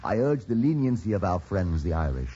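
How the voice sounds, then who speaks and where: A man's voice: low voice, big pitch swings, fairly quick, mellow, slight background noise. An actor playing a grave, conciliatory older statesman and father in old-time radio drama, solemn and oratorical. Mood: weary, appealing. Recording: dull old radio recording.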